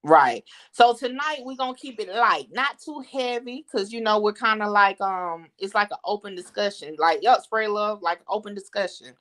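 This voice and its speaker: A woman's voice: medium pitch, moderate pace, few pauses. A woman hosts a casual online chat at an easy pace. She steers the talk loosely, with plenty of "um" and "like" along the way. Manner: relaxed, steering the talk with fillers. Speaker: host. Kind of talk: casual live-stream chat.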